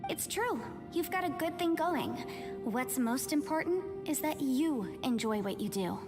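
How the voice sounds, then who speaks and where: An earnest young legal advisor from a video game. Her high voice is thoughtful and animated. Music plays clearly behind her. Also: unhurried. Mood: encouraging. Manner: thoughtful, articulate.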